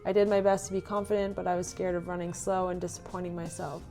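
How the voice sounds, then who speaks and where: A woman's voice: on the low side, flat delivery, fast, warm tone, soft background music. A young woman from Canada, a runner, chatting casually in a vlog and reading out her own race notes. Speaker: runner recapping her racing season.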